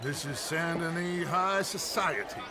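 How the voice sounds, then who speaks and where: An actor playing a flamboyant, silver-tongued outlaw gang leader in a video game, with a wordy, theatrical manner. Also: male voice, a little high, unhurried, very noisy, lightly acted. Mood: smooth, diplomatic. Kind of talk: video game cutscene acting.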